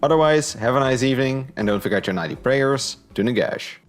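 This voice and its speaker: A man's voice: mid-range voice, expressive, a little fast. A man giving a scripted review in an informal, opinionated way. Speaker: book and audio drama reviewer.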